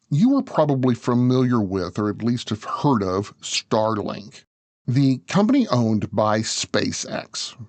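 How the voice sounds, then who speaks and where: A man's voice: medium pitch, a little mellow, no room sound. A man narrating a scripted business documentary, talking straight to the listener in a conversational way. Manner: conversational, addresses the listener directly. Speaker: show narrator.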